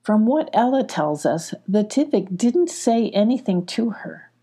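A woman voicing a gentle, caring animal mother in a children's storybook, earnest and anxious. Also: mid-range voice, big pitch swings, measured pace, husky, voice pushed up, full character voice. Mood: worried. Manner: earnest, worried.

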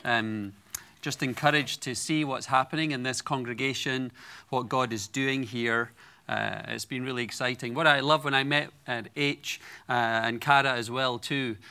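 Bright-voiced Scottish preacher. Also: male voice, mid-range voice, fairly even intonation, normal speed, clear, resonant tone. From Scotland. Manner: earnest, personal storytelling with light humor. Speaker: guest preacher. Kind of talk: sermon.

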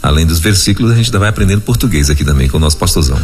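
Jovial male radio host with a low voice and lively swings in pitch, on a radio broadcast with faint music in the background. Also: racing. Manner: jovial, laughs often, reads listener questions. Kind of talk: live radio chat.

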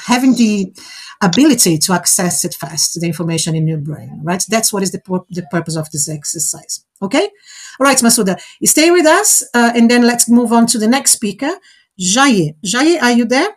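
A woman whose first language is Portuguese, hosting a live online exercise, encouraging participants and checking in often with "okay?" and "all right?". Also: medium pitch. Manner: encouraging, coaching, frequent check-ins like "okay?". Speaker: host running a fluency exercise.

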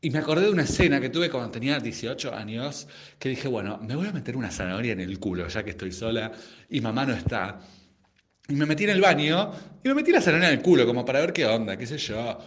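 A man's voice: medium pitch, very animated, fast talker, lightly acted. A man playing a brash, crude, melodramatic drag correspondent from the suburbs, with an Argentine accent, telling comic anecdotes. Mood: comic panic.

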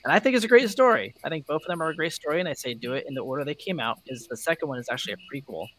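A man's voice: on the high side, animated, racing, fairly bright tone, low-quality recording. A man co-hosting a podcast in casual banter about games and film, giving his opinions in a joking way. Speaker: podcast co-host.

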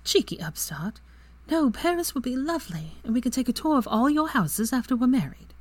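A woman voicing a bright, eager young witch in a higher, lively voice that often drops to a hushed whisper. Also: fairly quick. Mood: affectionate.

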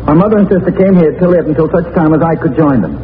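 A man's voice: on the high side, quick pace, lightly acted. An actor playing an earnest, insistent young man seeking justice for his family, in formal 1940s radio crime drama style. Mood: solemn. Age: young adult. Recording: noisy narrow-band 1940s radio recording.

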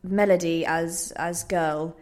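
Woman chatting in an interview, lowish voice, thinking it through, bit hesitant. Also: steady tone, slow pace. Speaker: playwright and trained actor interviewed. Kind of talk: casual conversational interview.

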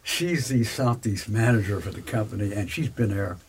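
A man with a husky voice, talking conversationally as an interviewer and often interjecting in an animated way. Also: lowish voice, normal speed. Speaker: interviewer, host.

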